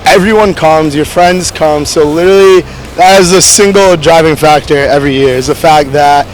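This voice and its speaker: A man's voice: a little high, normal speed, a little hiss or hum, poor audio. A man from Massachusetts speaking emphatically and eagerly in an outdoor street interview. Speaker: festival attendee. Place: outdoor parking lot.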